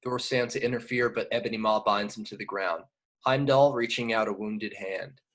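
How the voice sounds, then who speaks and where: A man's voice: lowish voice, fairly even intonation, normal speed, a bit bright. A man narrating scripted action descriptions at a table read. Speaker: stage direction narrator.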